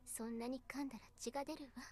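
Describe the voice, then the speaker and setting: A woman playing a gentle, kind schoolteacher in a Japanese visual novel, speaking tenderly and soothingly. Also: sits high, steady tone, rapid-fire, close to an everyday voice.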